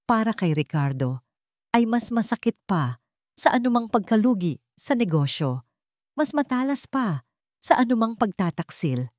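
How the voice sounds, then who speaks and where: A woman narrating a scripted dramatic story in a solemn, deliberate manner. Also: lowish voice, a little mellow, dry recording, clean studio sound.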